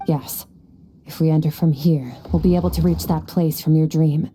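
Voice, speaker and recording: An actress in a video game playing an aloof, coolly commanding woman warrior mentor, detached and measured. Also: low-pitched, steady tone, warm tone, slight background noise, natural voice. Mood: calm, instructive.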